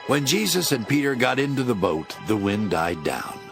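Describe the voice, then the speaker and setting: A man narrating scripture as a scripted, dramatic story in a measured storytelling manner. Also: mid-range voice, big pitch swings, creaky. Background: instrumental music.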